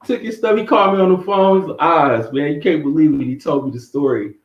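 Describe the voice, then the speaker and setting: A laid-back man with a Southern US accent spins loose travel stories, full of slang and quick laughs. His voice comes through a muffled remote call and rings in an echoey room. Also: on the high side, a little hiss or hum. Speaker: interview guest. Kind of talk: casual interview chat.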